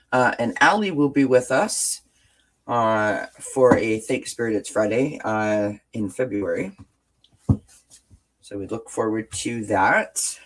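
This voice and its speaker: A woman hosting a casual livestream from home with a stuffed-up nose, greeting viewers in the chat by name with pet names and often pausing on filler words. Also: extremely low pitch, rough recording. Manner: frequent filler words and restarts. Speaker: livestream host. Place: indoors at home, lights off, sunny window behind.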